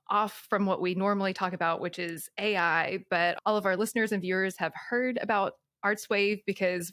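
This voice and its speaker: A woman's voice: fairly low pitch, fairly bright tone, dry recording, studio quality. A woman hosting a podcast, introducing her guest and asking questions in an enthusiastic, effusive manner. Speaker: podcast host. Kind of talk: scripted intro then casual interview.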